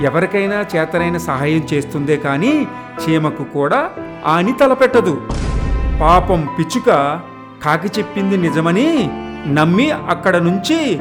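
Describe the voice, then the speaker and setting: A man's voice: fairly high pitch, lively intonation, a little slow, long pauses. A man narrating a scripted moral tale as a storyteller. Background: music.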